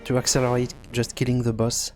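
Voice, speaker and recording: A man presenting an unscripted programming tutorial, hesitant and halting as he thinks aloud. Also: mid-range voice, monotone, over music.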